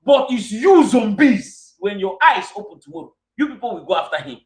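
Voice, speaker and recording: A man's voice: sits high, big pitch swings, fairly quick, roomy sound. A man with a Nigerian Igbo accent giving an angry, scolding monologue to his followers. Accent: Nigerian Igbo English. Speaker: self-styled king addressing followers. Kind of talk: fiery monologue.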